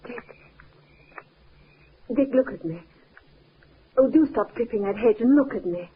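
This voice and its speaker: A woman's voice: on the high side, animated, measured pace, breathy edge, heavily acted. A woman playing a genteel young wife, proud and tender, in a 1950s radio drama, with polite, emphatic delivery. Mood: anxious, pleading. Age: young adult. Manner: polite, well-bred, emphatic. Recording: tinny, noisy 1950s radio recording.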